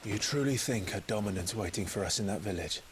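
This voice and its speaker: A man plays a grim, earnest young swordsman in a video game. His voice sits a little low, and his lines are terse. A faint hiss runs under the audio. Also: brisk, close to an everyday voice.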